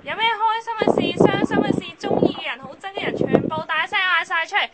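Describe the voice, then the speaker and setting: A woman's voice: very high voice, fast talker, very noisy. A woman acting a frank, teasing young friend and coworker in a Cantonese radio drama, with a Hong Kong accent. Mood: excited, cheering.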